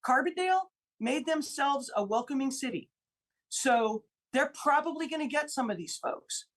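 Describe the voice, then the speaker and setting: Female lawmaker guest, from Illinois, on talk radio: forceful, repeats her figures, voice sits a little high. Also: animated, normal speed. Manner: emphatic, repeats key figures for stress. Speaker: state senator guest. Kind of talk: radio interview, political argument.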